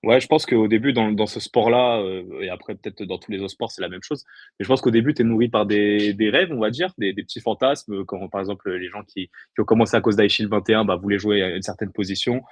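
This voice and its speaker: A man's voice: lowish voice, fairly quick, no echo. A man in his twenties from the Paris region, chatting casually as an interview guest. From Paris region, France. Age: 20s. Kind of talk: casual chat.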